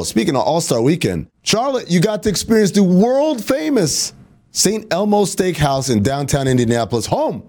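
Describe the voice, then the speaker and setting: A man's voice: medium pitch, a little mellow. A man hosting a sports talk show, playful and teasing, reading sponsor lines and often laughing.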